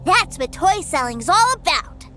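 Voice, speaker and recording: Excitable little game boy, very high voice, unhurried, childlike, earnest, prominent music. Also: exaggerated voice. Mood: awed, excited. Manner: wide-eyed, earnest, childlike. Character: innocent, excitable little boy adoring his big brother. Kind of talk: video game voice acting.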